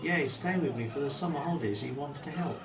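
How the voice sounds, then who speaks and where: A man's voice: on the high side, fast talker, pitched above the performer's own voice, lightly acted. Man reading as old fisherman grandpa, fond, homely, roomy sound, bit distant. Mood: fond, proud. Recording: distant mic, roomy echo, faint hiss.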